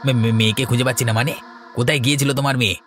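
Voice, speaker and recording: A man's voice: medium pitch, steady tone, very fast, natural voice. Man playing a nervous villager over music. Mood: frightened. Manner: stammering, panicky. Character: frightened village man walking through dark forest. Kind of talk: horror cartoon voice acting.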